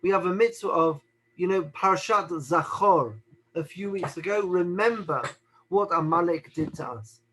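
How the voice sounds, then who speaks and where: High-voiced man giving a deliberate lesson. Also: sharp tone, a little hiss or hum, poor audio. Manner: deliberate, repeats phrases, asks rhetorical questions. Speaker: Torah teacher. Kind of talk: teaching talk on the Haggadah.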